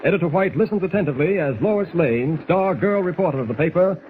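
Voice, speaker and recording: A man's voice: a little high, animated, fast, narrow, tinny audio. A man narrating a 1940s radio serial in a formal, suspenseful, dramatic style, on a thin and hissy old recording.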